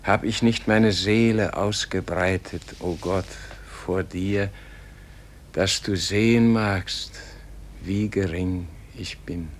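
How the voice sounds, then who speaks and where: A man's voice: sits low, fairly even intonation, slow pace, slight background noise. An actor playing a frail, anguished dying holy man in a poetic radio play, speaking in a solemn, declamatory lament. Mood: anguished prayer.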